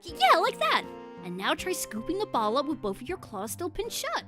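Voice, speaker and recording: A woman's voice: extremely high pitch, bright, forceful tone, voice pushed up, exaggerated voice. A woman voicing an earnest, anxious penguin agent in a game story, caring, apologetic and encouraging. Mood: gentle, encouraging. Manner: stammering, encouraging.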